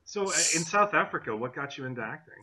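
A man's voice: on the high side, lively intonation, fast talker, sharp tone, low-quality recording. A young man hosting a casual interview from a room at home over a video call, eager and admiring, with hesitant fillers. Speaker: podcast host.

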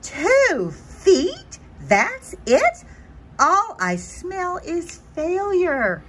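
A woman plays a small, nervous storybook butterfly with a whiny, theatrical delivery. The pitch jumps high and swoops widely in exclamations. There is some background noise. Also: wildly swooping pitch, very slow, fairly bright tone, exaggerated voice. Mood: indignant, discouraged. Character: anxious, dramatic little male cartoon butterfly, easily panicked. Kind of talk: animated children's picture-book read-aloud.

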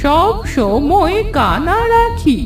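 A woman voicing a vengeful, ancient female pond spirit in a cartoon fairy tale, speaking with slow menace and accusation. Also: high voice, big pitch swings, roomy sound, far from the mic, soft background music, full character voice. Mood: menacing, accusing. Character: vengeful two-hundred-year-old female pond spirit.

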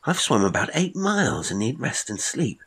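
A man's voice: medium pitch, big pitch swings, moderate pace, creaky, a bit bright, slightly rough, voice pushed up, full character voice. Brief: weary castaway, brusque and impatient, pressing questions, voiced by a man. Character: exhausted, irritable shipwrecked Englishman.

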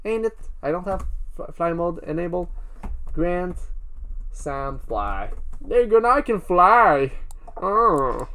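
A man's voice: high-pitched, very animated, slow, long pauses, pushed and bright. Rambling, playful man narrating gameplay. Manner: rambling, playful, talks straight to viewers. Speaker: gaming video creator. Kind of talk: casual gameplay commentary.

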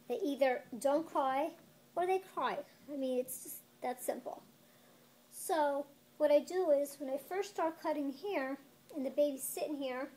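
A woman's voice: sits high, a little mellow. A middle-aged woman explaining her work informally to camera, speaking emphatically like someone telling a story from experience. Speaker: children's barber, shop owner. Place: small barbershop indoors. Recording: faint background room noise.

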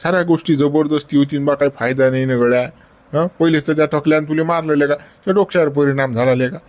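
A man's voice: a little high, quick pace, a little mellow, natural voice. A man playing an old friend coaxing in a Varhadi accent. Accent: Varhadi Marathi. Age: older. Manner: coaxing, chiding with repeated "गड्या".

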